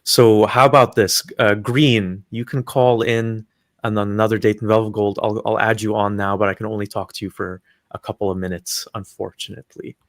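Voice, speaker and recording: A man's voice: fairly low pitch, level tone, normal speed, no echo, clean studio sound. A man from Palestine hosting a live call-in stream, chatting casually with callers, friendly and hesitant with many fillers.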